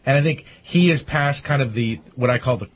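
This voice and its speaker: A man's voice: mid-range voice, brisk, breathy edge, lo-fi sound. An American man discussing politics on a panel, emphatic and often repeating himself to make a point.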